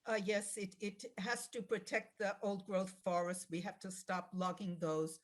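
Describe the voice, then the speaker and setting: Woman, medium pitch, blunt, emphatic, political candidate answering on a video call. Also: flat delivery, unhurried. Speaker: election candidate. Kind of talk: answering questions at a candidate forum.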